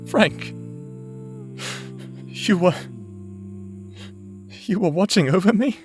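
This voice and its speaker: An actor playing a brooding, vengeful necromancer in an audio roleplay, speaking solemnly with theatrical pauses. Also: male voice, sits high, expressive. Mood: distraught, grieving. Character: brooding, vengeful male necromancer, grieving and lovelorn. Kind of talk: anime-style audio roleplay acting, dramatic monologue. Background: music.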